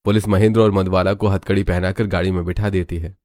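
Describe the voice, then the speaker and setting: A man's voice: low-pitched, flat delivery, fast, a little mellow, dry recording. A man reading scripted, dramatic narration for a cartoon story.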